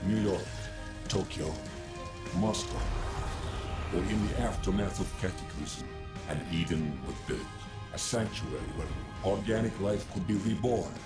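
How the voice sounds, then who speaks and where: An actor playing a solemn storyteller, narrating in a grave, measured, portentous way as in a cinematic game. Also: male voice, low voice, animated. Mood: grave, urgent. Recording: loud music under the voice.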